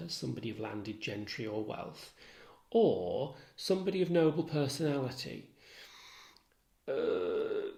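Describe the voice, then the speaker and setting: A man lecturing as an English literature tutor, explaining in a deliberate, formal way with precise wording and occasional asides. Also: on the high side, vocal fry, a little mellow. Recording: echoey, roomy sound.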